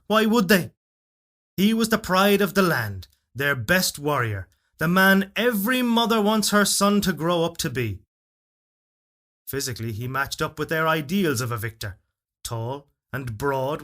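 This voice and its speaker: A man reading a literary fairytale as narrator, in a solemn, measured and expressive storytelling delivery. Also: a little high. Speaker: story reader. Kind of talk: dramatic literary narration of a first-person fairytale.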